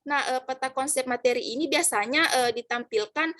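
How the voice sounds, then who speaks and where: Young woman student reading out her slides, high voice, lots of "eh" and restarts. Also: fairly quick, fairly bright tone. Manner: hesitant, many "eh" fillers and restarts. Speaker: student presenter. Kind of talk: reading slides in a class presentation.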